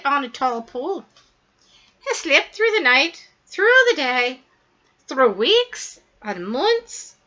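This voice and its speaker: Female storybook reader, bright and very lively, dramatic emphasis, slightly dull recording. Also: high voice. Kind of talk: expressive read-aloud of a children's story.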